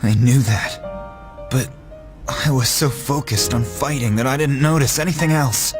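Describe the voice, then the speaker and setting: A man's voice: medium pitch, measured pace, close to an everyday voice. Guy playing a shaken young fighter in a game cutscene, earnest, music playing behind. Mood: regretful.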